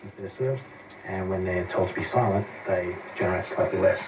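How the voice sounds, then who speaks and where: A man's voice: low-pitched, brisk, noisy background. A man explaining things conversationally as an expert guest in a radio interview, heard muffled and distant through radio playback.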